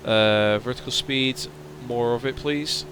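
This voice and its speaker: A man streaming a flight simulator, chatting casually and answering viewers' questions while his voice starts to strain and go hoarse. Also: mid-range voice, takes it slowly, noisy background. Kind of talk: casual livestream chat.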